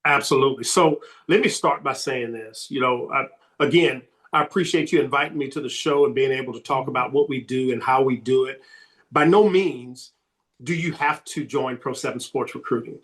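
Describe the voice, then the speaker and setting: A man answering as a sports recruiting coach on a podcast, emphatic and repeating his key points, his voice slightly hoarse. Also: mid-range voice, moderate pace, fairly bright tone.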